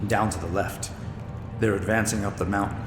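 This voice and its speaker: A man's voice: fairly low pitch, fairly even intonation, a little fast, natural voice. An actor playing a jittery, profane man caught in danger, blunt and sarcastic, in an audio drama. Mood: alarmed. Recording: echoey cave reverb over music.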